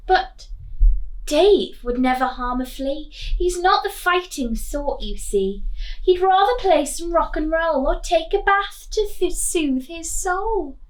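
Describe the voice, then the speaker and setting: A woman with a high-pitched voice leads a children's storytime at a very unhurried pace. She sounds like a teacher, reading expressively and checking in with questions in a deliberate way. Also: lively intonation, rough recording. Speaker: primary school teacher reading a picture book to children.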